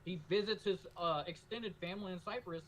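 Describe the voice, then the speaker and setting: A man's voice: high voice, a little fast, fairly bright tone, rough recording. An American man co-hosting a casual political podcast, talking in an emphatic, lecturing way and repeating his points. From United States. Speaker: co-host.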